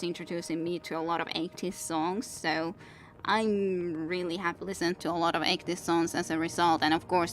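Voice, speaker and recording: A woman in her thirties with a Finnish accent, Finnish being her first language, chats loosely as she plays a game on stream. Her voice sits a bit low, and her thoughts wander with many restarts. Faint music plays behind her. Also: fairly bright tone. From Finland. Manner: rambling, reads chat aloud, many restarts. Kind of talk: casual gameplay stream chat.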